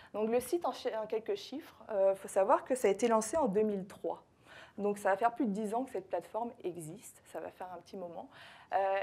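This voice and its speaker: A woman's voice: medium pitch, mellow. A woman from France with a French accent, a consultant giving a conference talk to a live audience, hesitant, with many fillers and self-corrections. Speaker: social media consultant and trainer.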